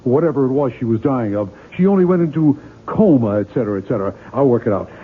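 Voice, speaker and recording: An actor playing an irritable, guilt-ridden novelist with a curt, weary, grumbling manner in a 1970s radio drama. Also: male voice, medium pitch, lively intonation, fast talker, a little hiss or hum, close to an everyday voice. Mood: eager, earnest. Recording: muffled phone-like vintage radio recording.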